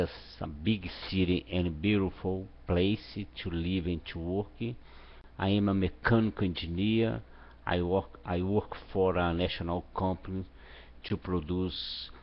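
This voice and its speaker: Deep, slow Brazilian man. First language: Portuguese. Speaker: English student, mechanical engineer. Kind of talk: self-introduction in a language class. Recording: dull, lo-fi online call audio.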